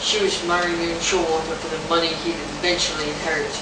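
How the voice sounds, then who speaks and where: A woman's voice: deep voice, little pitch movement, fast talker. A woman narrator giving a live dramatic reading of a pulp story at a convention. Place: convention hall. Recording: noisy, echoey convention hall from far off.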